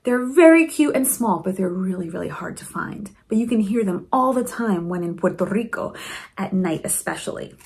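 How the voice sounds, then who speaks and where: A woman reading a storybook aloud to children in an expressive, encouraging way, with teaching asides. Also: medium pitch, normal speed, gravelly. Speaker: principal reading a picture book to children.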